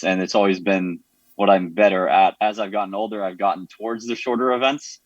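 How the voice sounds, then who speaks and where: A young man with an American accent, an Olympic swimmer giving relaxed, matter-of-fact interview answers. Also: on the low side, no echo, highs cut off. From Jamaica, raised in the United States.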